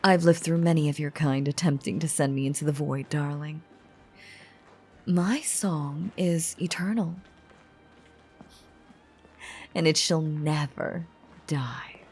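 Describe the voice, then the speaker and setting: A woman's voice: low-pitched, steady tone, unhurried, darkish tone, faint music behind. A woman playing an elegant ancient vampire in a scripted audio drama, teasing and coaxing with theatrical, old-fashioned formality. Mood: solemn, proud. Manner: theatrical, archaic, velvety formal phrasing.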